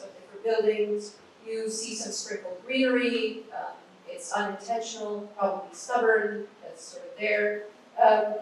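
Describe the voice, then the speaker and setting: A woman with a loud voice, giving a relaxed conference talk on urban planning with plenty of ums and sort-ofs. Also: mid-range voice. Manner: conversational, many fillers and hedges. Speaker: invited speaker on urban planning. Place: large event hall. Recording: echoey hall from a distance, faint room noise.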